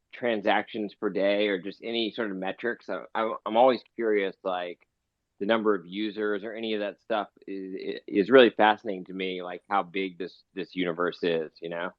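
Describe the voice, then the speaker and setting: A man hosting a casual interview in an online audio room, asking curious questions in a rambling way. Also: lowish voice. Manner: rambling, inquisitive, frequent "like". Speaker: interviewer, host. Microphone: phone or computer in an online audio room. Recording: dull lo-fi online voice chat.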